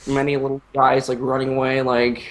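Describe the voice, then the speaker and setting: A young man improvising a comic story in a casual, halting, rambling way with crude slang. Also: medium pitch, monotone, slight background noise, low-quality recording.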